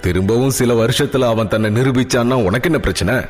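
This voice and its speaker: A man voicing a calm, gentle middle-aged father who soothes his daughter. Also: mid-range voice, fast talker, in a large hall, soft background music, lightly acted. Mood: soothing.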